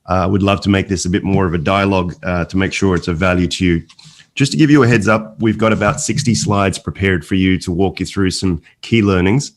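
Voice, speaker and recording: Deep-voiced Australian-accented man presenting. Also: fairly quick. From Malaysia, later Australia. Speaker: investment fund founder and guest speaker. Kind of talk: conference presentation with slides. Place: remote video call from New York.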